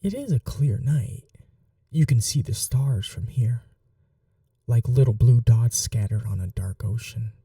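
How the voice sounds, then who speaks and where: A woman voicing a doting but cruel vampire mistress in an intimate ASMR roleplay, teasing and coaxing in a breathy voice that often drops to a whisper. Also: extremely low pitch, lively intonation, unhurried, dark tone, dry recording, studio quality, distant mic, heavily acted. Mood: wistful.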